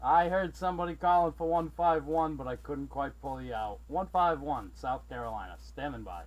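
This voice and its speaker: Excitable friendly guy with a high voice doing cb radio contacts, static and clicks in the audio. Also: normal speed. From Massachusetts, USA. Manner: excitable, friendly, prone to raising his voice. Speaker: CB radio operator running the channel from South Carolina. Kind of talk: amateur radio contact chat with callsigns and sign-offs.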